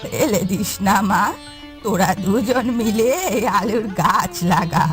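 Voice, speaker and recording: A woman's voice: mid-range voice, slow, soft background music, voice pushed down. A woman voicing a pleading old beggar woman. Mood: gently insistent. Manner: pleading, blessing, addresses others as mother. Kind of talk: cartoon voice acting.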